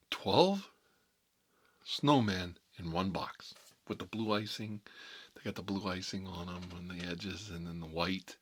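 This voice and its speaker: A man acting as a mob boss with a fairly low voice, hot-headed and sentimental. He rambles in a colloquial, repetitive way and gets sidetracked easily, as in an improvised comedy monologue. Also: a bit bright, breathy edge, exaggerated voice. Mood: outraged ranting. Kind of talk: improvised comic character monologue.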